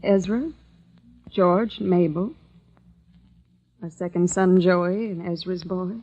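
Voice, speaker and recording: An actress playing a steady, consoling wife among survivors, speaking in a measured, soothing way in old-time radio drama. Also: female voice, lowish voice. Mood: calm, consoling. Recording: old, muffled radio recording.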